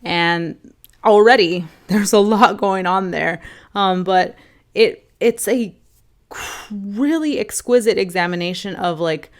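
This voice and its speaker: A young woman vlogger casually reviewing films to camera, rambling with lots of fillers and restarts, a little hoarse. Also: medium pitch, slow pace. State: slightly hoarse. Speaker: film vlogger ranking movies.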